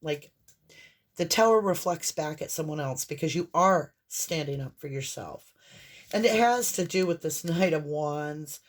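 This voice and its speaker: A woman with a hoarse voice and a mixed Southern and Northern American accent, giving a casual, rambling tarot reading straight to the viewer. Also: low-pitched, animated, measured pace. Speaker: tarot reader.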